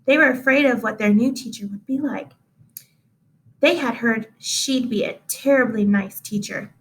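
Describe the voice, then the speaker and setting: A woman reading a children's book aloud as an expressive storyteller. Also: on the high side, moderate pace, rough recording. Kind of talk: expressive read-aloud narration.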